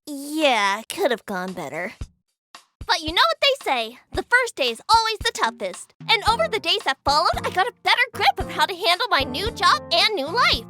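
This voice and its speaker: Very high, animated little cartoon girl. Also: normal speed, soft background music, heavily acted. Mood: earnest. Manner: perky, earnest, expressive. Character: cheerful, naive ten-year-old girl newcomer. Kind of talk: animated parody dub acting.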